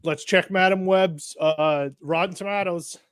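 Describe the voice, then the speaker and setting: A man's voice: high voice, steady tone, measured pace, fairly bright tone, smooth, no echo, clean studio sound. A man bantering in a casual panel chat as one of the panelists.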